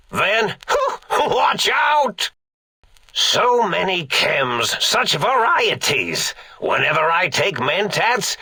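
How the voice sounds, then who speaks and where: An eccentric old mad scientist, a brain in a robot tank from a video game. The voice is raspy and fairly high for a man, and it takes its time. He dithers pompously, then swells into theatrical outbursts. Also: pushed and bright, no echo, heavily acted. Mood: musing, amused, then theatrically menacing, then dreamy, drug-addled.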